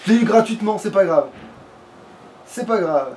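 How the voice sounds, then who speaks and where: High-voiced man casually commenting on a game. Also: expressive, normal speed, a little mellow. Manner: crude, slangy, swearing freely. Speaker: let's play gamer. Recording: clearly noisy home recording.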